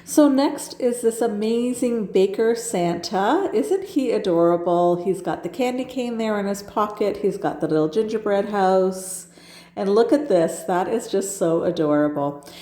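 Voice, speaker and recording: A woman's voice: medium pitch, measured pace, dark tone, reverberant. A woman with a stuffed-up nose from a cold, chatting enthusiastically as she narrates her home decorating. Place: home kitchen.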